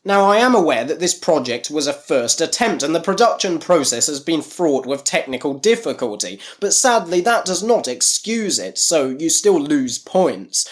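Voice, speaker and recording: A man's voice: a little high, sing-song, moderate pace, hardly any pauses. A man narrating a scripted review in a critical, dryly sarcastic manner.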